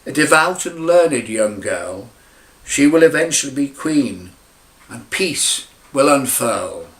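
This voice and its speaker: A man's voice: mid-range voice, expressive, takes it slowly, a bit bright. Man solemnly reciting verse, echoey room. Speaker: poet reading own poem. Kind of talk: recited dramatic monologue in rhyming verse. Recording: roomy, echoing amateur recording.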